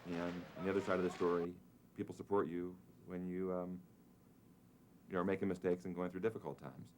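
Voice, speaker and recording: A man speaking quickly and reflectively in a corporate promo interview. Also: low-pitched, fairly even intonation. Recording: noisy ambience.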